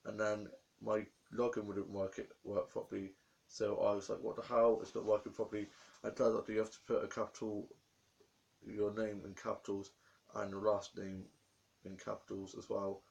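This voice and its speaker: A young man with a croaky voice from a cold, rambling through a diary vlog about his day. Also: fairly low pitch, completely flat delivery, moderate pace, a little mellow, muffled recording. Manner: rambling, run-on storytelling. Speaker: vlogger.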